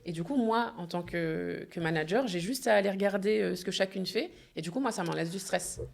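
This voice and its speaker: Young female entrepreneur guest, low-pitched, Manjak native speaker, halting and easygoing delivery. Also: gravelly. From France. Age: young adult. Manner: hesitant, many fillers and restarts, laughs easily. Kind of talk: casual interview chat.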